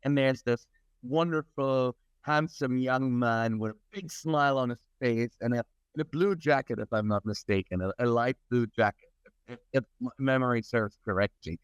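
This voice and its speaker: A man's voice: medium pitch, normal speed, clean tone, no room sound. Middle-aged guy from germany, mid-range voice, earnest and expansive, dry muffled recording. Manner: earnest, expansive, repeats words as he builds sentences. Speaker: interview guest, technology advisor. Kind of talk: conversational interview. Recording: dull lo-fi recording.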